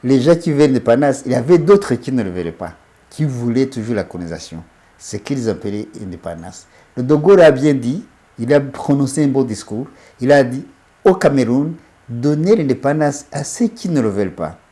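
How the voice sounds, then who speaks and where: A man speaks emphatically, restarting and repeating phrases as he builds a point. His voice is mellow and dark, at a middle pitch. He sounds like a guest in an interview. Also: expressive, fairly quick. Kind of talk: interview, political commentary.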